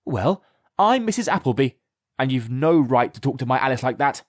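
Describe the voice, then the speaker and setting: A man's voice: mid-range voice, big pitch swings, racing, darkish tone, no room sound, clean studio sound, pitched above the performer's own voice, natural voice. A man voicing a protective mother who speaks up firmly. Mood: defiant. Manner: firm, raised voice. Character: protective mum, firm and defiant.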